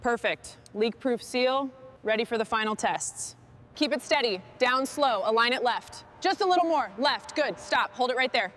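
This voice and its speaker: A woman's voice: on the high side, animated, moderate pace, fairly bright tone, slight background noise. A woman restoring machinery, giving short, clipped remarks and instructions as she works. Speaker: machine restorer presenting the work. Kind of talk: short work narration and crew instructions.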